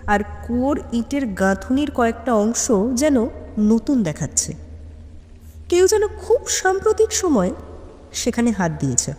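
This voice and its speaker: Female narrator for mystery audio story: highish, very animated pitch, suspenseful delivery, long reverb. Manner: expressive, suspenseful.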